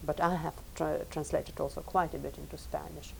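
Low, gravelly woman, Lithuanian native speaker. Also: little pitch movement, slight background noise. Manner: thoughtful, measured, repeats words while thinking. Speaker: professor and translator. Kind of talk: recorded oral history interview.

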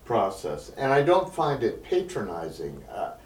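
A man with a lowish voice asks long, deliberate questions at a very slow pace. He sits back from the microphone, so his voice sounds distant and roomy, with a faint hum behind him. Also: huge pitch swings. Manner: measured, careful questioning. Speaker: interviewer. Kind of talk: oral history interview. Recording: distant, roomy mic with faint background noise.

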